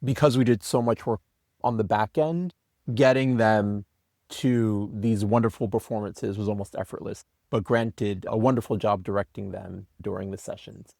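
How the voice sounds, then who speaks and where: A man's voice: on the low side, steady tone, moderate pace, vocal fry, a little mellow, no room sound, studio quality. A man being interviewed as a writer, talking in a conversational, anecdotal way. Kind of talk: interview talk.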